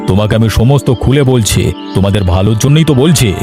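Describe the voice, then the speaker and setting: A man's voice: lowish voice, fast talker, lightly acted. A man playing a scheming, lecherous village headman in a cartoon, wheedling and menacing. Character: scheming, lecherous widowed village headman, a bullying land-grabber. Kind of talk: Bengali cartoon voice acting. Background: music.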